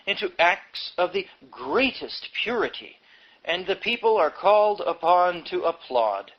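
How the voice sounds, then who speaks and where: A man's voice: a little high, lo-fi sound. A man reading a book aloud in an emphatic, sardonic manner, as an audiobook narrator given to wry delivery. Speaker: audiobook reader.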